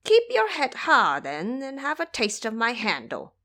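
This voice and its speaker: A woman takes on an old monster king from an audio drama tale. The voice is high and swings widely as he blusters. He is haughty, indignant and quick to rage. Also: huge pitch swings, pushed and bright, exaggerated voice. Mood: challenging. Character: proud, enraged old male monster king.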